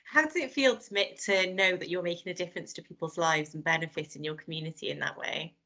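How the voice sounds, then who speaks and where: A woman's voice: on the low side, quick pace, pushed and bright. A woman hosting a podcast, interviewing a guest and affirming her answers in an encouraging way. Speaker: podcast host.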